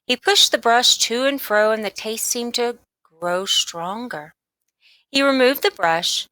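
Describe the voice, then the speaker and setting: A woman reading a children's book aloud as scripted narration, in an expressive, emphatic way. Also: a little high, measured pace, no echo. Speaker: storybook reader.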